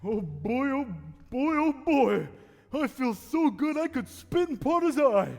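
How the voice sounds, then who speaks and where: A man acts out a bumbling, cheerful uncle in his late fifties, very high-pitched and excitable. He rambles and exclaims in old radio-play style, recorded live in a reverberant hall. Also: a little slow, gravelly, faint music behind, exaggerated voice. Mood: tipsy, merry. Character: bumbling, cheerful, boozy old uncle of fifty-five-plus. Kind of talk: 1940s radio play acting. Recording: echoey hall, live stage taping.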